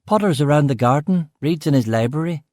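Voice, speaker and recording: A blunt, wary middle-aged cook with a West Belfast accent, put on by a man reading an audiobook. Her lines come out short and clipped in a pitch raised high above the reader's own. The sound is mellow and dry, close to the mic. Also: expressive, a little fast, no echo. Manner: curt, clipped local speech.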